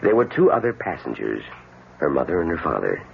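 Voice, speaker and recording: An actor playing a grave, courteous doctor in an old-time radio drama, speaking in a formal, measured, authoritative way. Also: male voice, a bass voice, wildly swooping pitch, gravelly, full character voice. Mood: grave, explaining. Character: grave, courteous male doctor explaining a patient's cure. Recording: tinny, hissy old radio recording.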